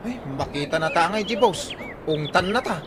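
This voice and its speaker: A man's voice: sits high, expressive, normal speed, over a noisy crowd. A man playing a teasing, boastful coworker friend in an Ilocano comedy radio drama, full of exclamations.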